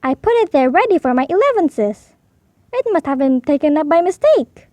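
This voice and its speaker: An earnest, polite young boy bear from a storybook, played by a woman, with a high, excitable, exclaiming voice. The pitch swoops up brightly on key words, in a dry recording. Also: brisk, dry recording. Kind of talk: children's storybook character voice.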